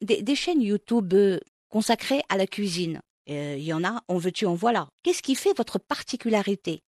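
A woman host reading a scripted introduction and asking interview questions in an expressive presenter manner. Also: mid-range voice, normal speed, no room sound, clean studio sound. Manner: lively, expressive presenter delivery.

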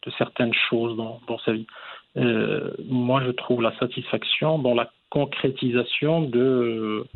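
A man in his forties giving measured, reflective answers as the guest in an interview. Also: mid-range voice, narrow, tinny audio. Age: 40s.

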